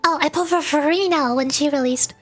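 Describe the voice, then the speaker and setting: A woman with a notably high voice chats to her livestream viewers. She talks in a loose, rambling, playful way, as a gaming host does. Faint music plays behind her. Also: brisk. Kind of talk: casual chat to stream viewers while gaming. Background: background music.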